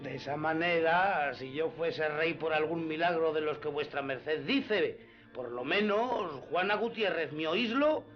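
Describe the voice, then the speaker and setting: An actor playing an earthy, simple peasant squire in a radio drama, eager, deferential and comic. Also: male voice, on the high side, measured pace, natural voice. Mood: doubting, amused. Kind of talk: classic radio drama acting. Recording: slightly dull radio drama with music.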